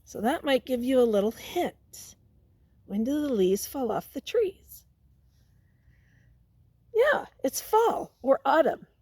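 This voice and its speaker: A woman's voice: high-pitched, sing-song, darkish tone, husky, dry recording. A woman teacher reading a picture book aloud to young children, stopping to ask them questions and prompt them. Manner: pauses to question and prompt listeners.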